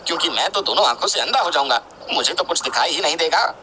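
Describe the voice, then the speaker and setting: A man's voice: fairly high pitch, animated, fast, noisy background, close to an everyday voice. A man voicing a simple, devoted hunter who earnestly thinks aloud and questions himself in a devotional story. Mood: resolute.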